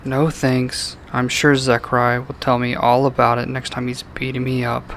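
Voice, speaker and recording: A man's voice: mid-range voice, completely flat delivery, normal speed, a bit bright, a little hiss or hum, close to an everyday voice. A man voicing a dejected, timid, bullied young creature boy, mopey and self-pitying, in an amateur cartoon audio drama.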